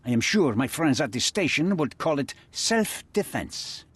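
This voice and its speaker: Smuggler crime boss, cold, threatening, animated pitch, dry close recording. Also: male voice, measured pace, breathy edge, no echo, heavily acted. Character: menacing male crime boss, smuggler and cutthroat. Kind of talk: video game cutscene dialogue.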